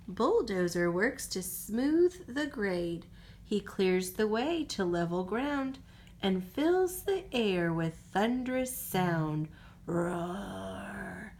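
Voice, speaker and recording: A woman reads a bedtime storybook aloud at a slow, unhurried pace. Her pitch swings freely and expressively, as a mother reading to her young child does. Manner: expressive, drops to whispers for goodnights.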